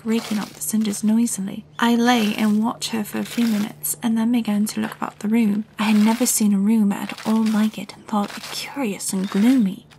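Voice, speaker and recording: A woman's voice: medium pitch, darkish tone, slight background noise, close to an everyday voice, poor audio. A woman voicing a lonely, contrary young girl who narrates her own story in an audio drama. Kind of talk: first-person storybook narration.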